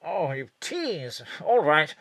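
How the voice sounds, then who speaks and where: A performer playing a flirtatious spy chief, suggestive and teasing. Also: male voice, fairly high pitch, big pitch swings, slow pace, fairly bright tone, heavily acted. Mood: flirty. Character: flirtatious male spy chief, roused from sleep.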